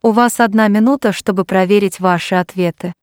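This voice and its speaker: Smooth-voiced woman announcing a test. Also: medium pitch, steady tone, darkish tone, no room sound, clean studio sound. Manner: neutral, measured announcing. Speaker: exam announcer. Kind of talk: formal test instructions.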